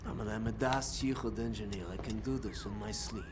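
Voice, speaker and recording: An actor playing a learned, proud engineer of a secret society in a video game, speaking solemnly and grandly. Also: male voice, lowish voice, steady tone, brisk, background noise, natural voice. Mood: confident. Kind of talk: dramatic video game acting.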